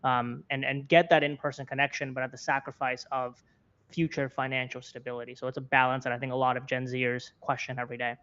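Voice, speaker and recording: A man's voice: medium pitch, flat delivery, fast talker, a bit bright, clear, resonant tone, dull audio. A man in his twenties with an American accent gives conversational interview commentary, rephrasing his points earnestly as he goes. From Florida, United States. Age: 20s. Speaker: interview guest, content creator and journalist.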